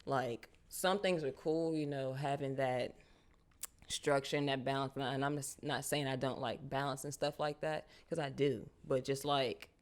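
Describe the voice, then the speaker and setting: A young woman from Charlotte, a guest artist talking casually in an interview, animated and full of slang. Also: extremely low pitch, unhurried. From United States, Charlotte. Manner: animated, casual slang, frequent "you feel me".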